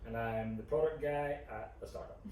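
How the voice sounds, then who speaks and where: A man's voice: mid-range voice, moderate pace. A young man with a Scottish accent, co-hosting a vlog in casual, informal chat, thinking aloud as he explains. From Scotland. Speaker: co-host of a couple's vlog. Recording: echoey room, distant mic, faint noise.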